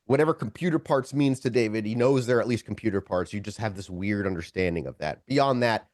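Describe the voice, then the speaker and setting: A man's voice: lowish voice, a little fast, a little mellow, no room sound, clean studio sound. A man narrating a tabletop role-playing game as game master, improvising scene descriptions and often correcting himself as he searches for words.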